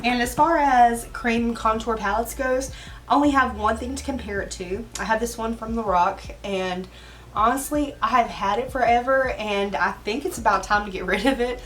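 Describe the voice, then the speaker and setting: A woman beauty vlogger chatting casually about makeup, her voice hoarse, talking around a cough drop in a rambling, chatty way. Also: on the high side, moderate pace, far from the mic, slight background noise, rough recording. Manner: chatty and rambling, with filler asides. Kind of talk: casual get-ready-with-me chat.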